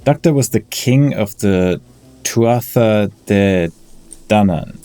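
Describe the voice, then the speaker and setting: Low, skeptical fantasy knight. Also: male voice, takes it slowly, dark tone, clean tone, no room sound. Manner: questioning, doubtful. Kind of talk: scripted video game dialogue.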